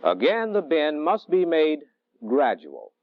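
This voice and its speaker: Male technical instructor, middle-aged, fairly high voice with varied intonation, measured teaching delivery, old training-film sound. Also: huge pitch swings, no room sound. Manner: deliberate, didactic, measured phrasing. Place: indoor training film studio. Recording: dull, dry vintage film soundtrack.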